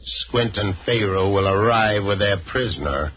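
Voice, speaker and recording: An actor playing a cold, commanding outlaw boss with a menacing, authoritative manner in a 1940s Western radio serial. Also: male voice, on the low side, unhurried, lightly acted. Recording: muffled old radio recording, faint noise.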